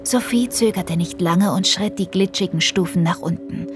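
A woman's voice: on the low side, normal speed. A woman narrating a children's audio drama in a scripted storybook style. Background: music.

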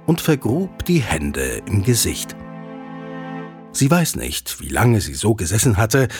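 A man's voice: mid-range voice, darkish tone. A man narrating a scripted children's audio drama as a storyteller. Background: music.

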